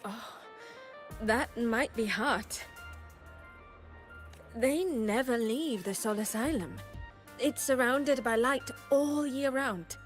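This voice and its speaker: Brief: an eager young female student, high-pitched, faint music behind. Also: slow, pauses often. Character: cheerful young woman student.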